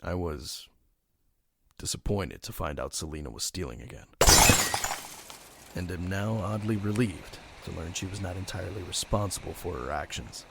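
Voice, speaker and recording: A man playing a brooding, stern vigilante detective in an audio drama, terse and grim with a gravelly voice. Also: sits low, animated, normal speed, very noisy. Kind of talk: audio drama narration and dialogue.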